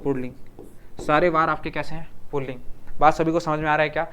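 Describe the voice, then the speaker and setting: A man's voice: on the high side, steady tone, fast, fairly bright tone, clean tone. A man with a Chhattisgarh Hindi accent teaching a grammar lesson for an exam, repeating words and often checking that the class follows.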